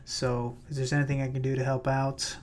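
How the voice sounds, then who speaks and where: A man hosting his own podcast in a loose, chatty way with many fillers, his voice a little raspy. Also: medium pitch, little pitch movement, a little fast, darkish tone. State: slightly raspy voice. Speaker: podcast host and realtor. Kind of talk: casual solo podcast talk. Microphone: plugged-in microphone.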